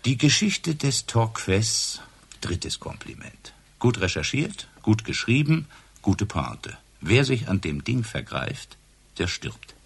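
Casting: boozy police inspector for radio crime play, deep husky voice, sarcastic interrogator. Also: male voice, big pitch swings, full character voice. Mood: mocking. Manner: sarcastic, probing, theatrical pauses. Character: hard-drinking, sardonic male police inspector, relentlessly accusing. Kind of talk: German radio crime drama acting.

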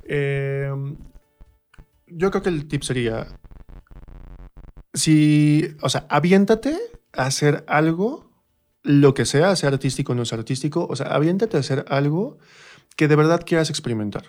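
A man's voice: fairly high pitch, animated, a little slow, pauses often, a little mellow, faint music behind. A man with a Mexican accent talking casually as an interview guest, emphatic and repeating himself. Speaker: interview guest, stage performer. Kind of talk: casual conversation.

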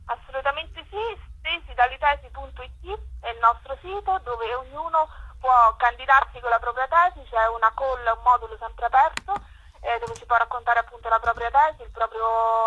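A woman's voice: fairly high pitch, bright, forceful tone, highs cut off. A woman explaining a project as a guest in a conversational interview.

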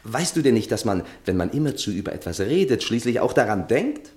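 A man's voice: mid-range voice, big pitch swings, brisk, a little mellow, close to an everyday voice. A man acting in a 1960s German radio play as a tipsy, infatuated young journalist, with theatrical, ardent and sometimes stammering delivery. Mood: ardent, pleading.

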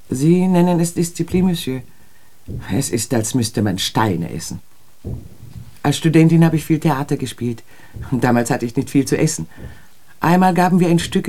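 An actress playing a disillusioned, educated woman in a literary radio drama, speaking in a measured, poetic and pensive way. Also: sits low, a little mellow. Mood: bitter, pensive.

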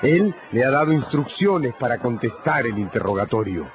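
A man's voice: mid-range voice, big pitch swings, normal speed, some chatter behind. A man narrating a radio drama from a script in a dramatic style. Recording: dull, noisy radio recording.

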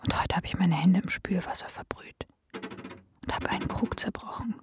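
An actress playing a destitute, weary seventeen-year-old girl in a radio play, speaking in hushed, terse, resigned phrases. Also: lowish voice, normal speed, whispered. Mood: weary, bitter. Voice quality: hushed, breathy. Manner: terse, resigned, clipped sentences. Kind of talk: German radio play acting.

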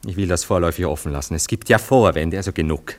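An actor playing a jealous, wavering lover in a German radio play, speaking in hesitant, elaborate, earnest theatrical phrases. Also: male voice, low-pitched, fast talker, natural voice. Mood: brooding, insistent. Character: jealous, wavering, anxious lover, given to brooding doubts. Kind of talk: German radio play acting of a stage comedy.

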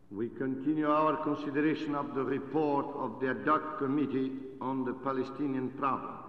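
Man, assembly chairman, formal, deliberate, far from the mic, long hall echo, dull old recording. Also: mid-range voice. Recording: distant, echoey 1940s archive hall recording.

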